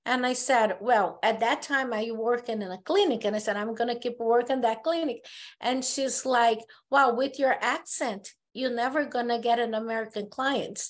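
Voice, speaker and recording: A woman from Brazil with a Brazilian Portuguese accent, a therapist speaking as a podcast guest, telling her story earnestly with halting restarts. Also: on the high side. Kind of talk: casual conversation.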